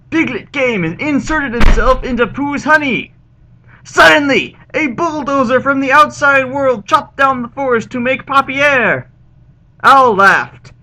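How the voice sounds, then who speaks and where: A man acting a sharp-voiced, pompous storyteller. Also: very high-pitched, heavily acted, poor audio. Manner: theatrical, deliberate delivery of broken-English text. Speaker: reader of fan fiction. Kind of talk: comic dramatic reading.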